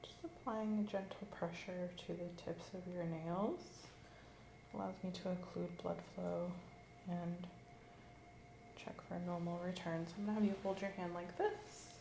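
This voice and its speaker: A woman in an ASMR roleplay as a nurse, giving calm, gentle clinical instructions and sometimes dropping into a whisper. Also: on the low side, a little slow, a little hiss or hum. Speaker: ASMR creator playing a nurse. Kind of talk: ASMR medical examination roleplay. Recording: roomy echo with clear background ambience.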